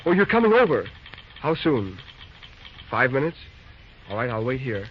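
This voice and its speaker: An actor playing a steady railroad superintendent in an old radio drama, crisp and commanding. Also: male voice, mid-range voice, normal speed, natural voice. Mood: worried. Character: steady young railroad boss taking charge. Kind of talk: 1940s radio acting. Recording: muffled old radio recording with hiss.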